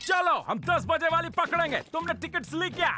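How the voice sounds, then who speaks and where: A man playing an excitable, scheming cartoon convict in an exaggerated, comic dub style. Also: very high-pitched, fairly quick, heavy background noise. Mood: eager. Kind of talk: Hindi-dubbed cartoon acting. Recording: loud music behind the voice.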